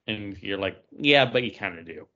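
Male co-host, slightly low voice, casual critical review talk, lo-fi muffled recording. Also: animated, normal speed, pushed and bright. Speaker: podcast co-host.

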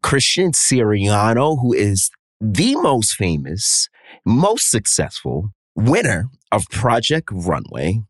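A man's voice: fairly low pitch, big pitch swings, slow pace, no room sound, clean studio sound. A man in his thirties from New York with a New York accent, co-hosting a casual chat with playful, theatrical emphasis. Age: 30s. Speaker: co-host, stylist.

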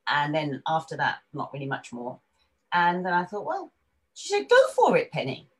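An older woman with an English accent and a fairly low voice tells her story in a relaxed, chatty way. She laughs easily and comes alive when she quotes other people. She sounds like she is on a video call. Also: moderate pace, breathy edge. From England. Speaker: guest. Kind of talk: casual interview storytelling.

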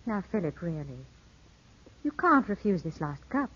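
Poised, husky-voiced gracious widow. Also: female voice, on the low side, sing-song, a bit bright, heavily acted. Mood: gently insistent. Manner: polite, coaxing, measured. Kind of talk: 1950s radio drama acting. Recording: muffled old 1950s radio broadcast.

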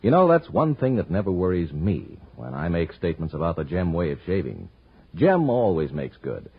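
Male radio announcer, low husky voice, emphatic 1940s sales delivery, animated. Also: normal speed. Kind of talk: 1940s radio advertising and narration. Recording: dull old radio recording.

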